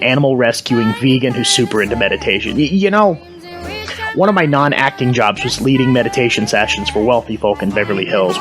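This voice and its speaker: A man's voice: mid-range voice, lightly acted. A man playing a washed-up, hard-drinking Hollywood reporter who stammers and wanders off track in a satirical entertainment news segment. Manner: stammering, distracted, digressive.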